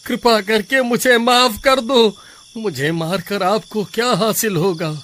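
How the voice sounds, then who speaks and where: A man's voice: high voice, a little slow, a bit bright, a little hiss or hum. A man voicing a greedy, cowardly village milkman in a melodramatic cartoon story, whiny and exclaiming. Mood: pleading, submissive.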